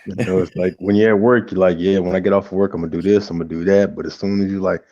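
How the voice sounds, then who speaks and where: A man's voice: sits low, little pitch movement, racing, warm tone, no echo, lo-fi sound. A man co-hosting a casual livestream, laid-back and agreeable with short replies. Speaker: co-host.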